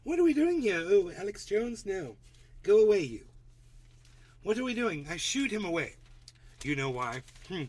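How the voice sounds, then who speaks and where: A man putting on a snooty, pompous posh character who talks in haughty, drawn-out exclamations. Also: sits high, sing-song, a little mellow, voice pushed up.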